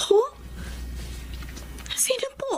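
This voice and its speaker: A woman in a Filipino radio drama playing an earnest, naive, hardworking young employee. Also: extremely slow. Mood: anxious, hesitant. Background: prominent music.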